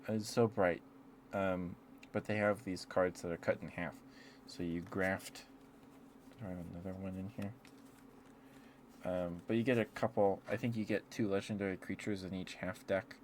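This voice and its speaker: A man's voice: sits low, fairly even intonation, normal speed, pauses often, a little hiss or hum. A man livestreaming casual, rambling ASMR chat, talking to viewers in a hesitant, meandering, apologetic way.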